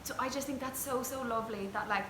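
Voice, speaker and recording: A woman's voice: a little high, fast talker. A young Irish woman, a traditional singer, chatting earnestly with lots of fillers in an interview. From Ireland. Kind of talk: casual chat. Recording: echoey room with background noise.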